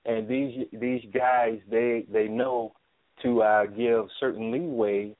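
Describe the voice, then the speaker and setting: A man answering interview questions as a theater producer, speaking haltingly and often repeating his words. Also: mid-range voice, little pitch movement, no echo. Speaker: stage play writer and producer. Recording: thin phone-line sound.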